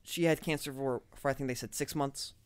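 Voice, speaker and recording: A chatty podcast co-host in a relaxed film review conversation, leaning often on filler words. The pitch is in an ordinary middle range. The recording is dry and close, with no echo. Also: a little fast, clean tone. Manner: chatty, leans on "like" and "I'm like". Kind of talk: casual film review chat.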